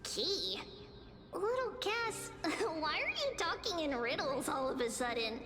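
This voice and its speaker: A woman voicing a small, cheerful, excitable girl child in a video game, with childlike exclamations. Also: high voice, unhurried. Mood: puzzled, amused. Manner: childlike, exclaiming, third-person self-reference. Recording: music behind the voice. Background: game music.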